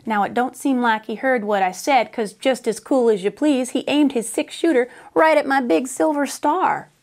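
A middle-aged American woman narrates a children's storybook like a teacher at story time. Her voice is on the high side, and she leans into a drawling, folksy Western dialect with plenty of expression. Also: normal speed. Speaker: teacher reading a storybook aloud. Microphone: lapel.

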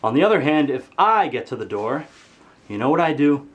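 A teenage boy vents straight into a webcam from his bedroom, playing up his anger for laughs. His voice sits at a middle pitch but leaps high and loud when he gets indignant, then drops to a low grumble. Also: big pitch swings, brisk, highs cut off. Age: teens. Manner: exaggerated angry ranting, swearing, pitch jumping high when outraged. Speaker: vlogger. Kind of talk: comedic rant to camera. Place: bedroom, indoors.

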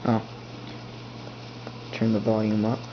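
A man's voice: lowish voice, completely flat delivery, slow pace. A man thinking aloud as he sets up a device at his desk, muttering to himself while pressing buttons. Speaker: hobbyist setting up a device. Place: indoors at a desk. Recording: dull lo-fi camera audio with faint hum.

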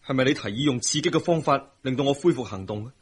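A man's voice: mid-range voice, animated, fast talker, breathy edge. An actor playing an insistent, demanding young man in a Hong Kong Cantonese radio drama, questioning in an urgent, pressing way.

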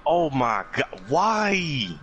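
A man's voice: a little high, very animated, extremely slow, fairly bright tone, muffled recording, faint music behind. A man hosting a live game stream, chatting casually and playfully with friends, often stammering and repeating himself. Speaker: streamer hosting a car meet. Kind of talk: casual gaming party chat.

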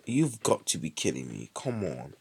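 A young British man with a lively voice commentating his mobile game live, chatty with sudden excited outbursts, saying he is ill. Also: very deep voice, moderate pace, gravelly, very noisy. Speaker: streamer.

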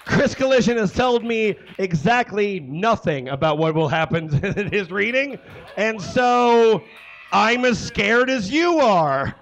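A man's voice: high voice, lively intonation, slow, a little hiss or hum. A young man with a loose, joking manner introducing the next act on a small stage, speaking into a handheld mic. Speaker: host. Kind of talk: live comedy show introduction. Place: small stage with curtains and brick wall.

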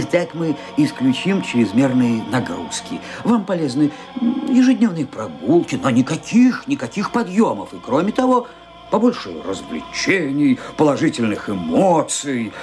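A man's voice: medium pitch, huge pitch swings, normal speed, a little mellow, soft background music. An actor playing a jovial, insistent family doctor in a radio play, chuckling through his bedside manner. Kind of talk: Soviet-era radio play acting.

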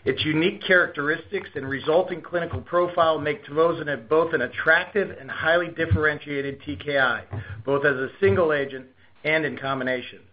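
Man, fairly high voice, company executive, formal scripted presentation, dull lo-fi conference call sound. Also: steady tone, brisk.

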